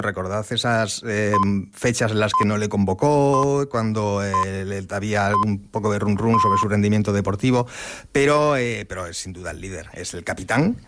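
Male correspondent, mid-range voice. Thoughtful, explanatory, conversational radio analysis with frequent self-rephrasing. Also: mid-range voice. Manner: reflective, explanatory, often rephrasing himself. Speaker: correspondent on French news and sport.

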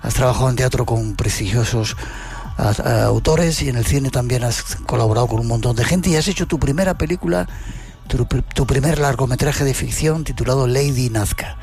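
A man's voice: mid-range voice, fairly quick, darkish tone, faint music behind. A man hosting an interview, introducing his guest and asking questions in an eager presenter manner. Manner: enthusiastic, presenter-like. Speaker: host. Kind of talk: radio or TV interview.

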